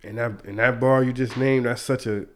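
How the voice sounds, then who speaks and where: A man's voice: mid-range voice, fairly even intonation, normal speed. A young man hosting a podcast in a casual, slangy way, stuffy and congested from a cold. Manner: laid-back, slangy, chuckling. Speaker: podcast host.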